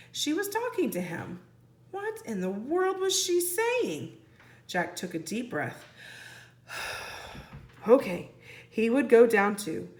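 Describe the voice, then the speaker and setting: A woman's voice: a little high, reverberant. A woman teacher reading a children's storybook aloud expressively, putting questions to young listeners. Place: indoor room, book held on camera.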